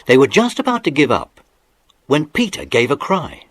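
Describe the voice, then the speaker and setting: A man's voice: on the high side, very animated, moderate pace. A man narrating a children's adventure story from a script, as a storybook narrator. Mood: storytelling.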